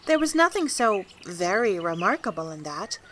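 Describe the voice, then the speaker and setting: A woman's voice: mid-range voice, big pitch swings, moderate pace, fairly bright tone, slight background noise. A woman giving scripted storybook narration as the narrator of an audio drama. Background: music.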